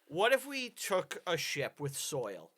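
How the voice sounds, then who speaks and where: A man with a high, lively voice that leaps up and down as he chats on a podcast. His tone is bright and forward, and he keeps laughing through his own half-serious ideas. Plain studio microphone sound. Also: slow pace, slightly rough. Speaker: podcast co-host. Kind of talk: casual podcast banter.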